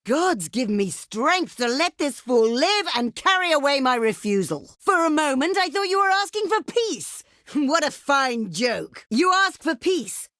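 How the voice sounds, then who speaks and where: A woman's voice: high-pitched, sing-song, unhurried, a bit bright, no room sound, studio quality, full character voice. An actress playing a proud, imperious ancient desert queen in a video game, speaking in formal, theatrical and haughty phrases. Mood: scornful, outraged. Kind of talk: video game diplomacy voice lines.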